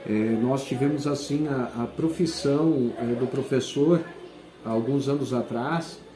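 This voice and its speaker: A man, a school principal answering interview questions and often pausing to search for words. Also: mid-range voice, background noise. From southern Brazil. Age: 40s. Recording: echoey room.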